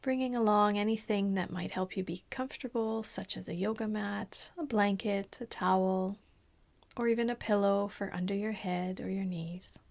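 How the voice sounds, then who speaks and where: Woman, meditation teacher, soft breathy voice, calm and soothing, slow guided body scan with long pauses. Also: medium pitch.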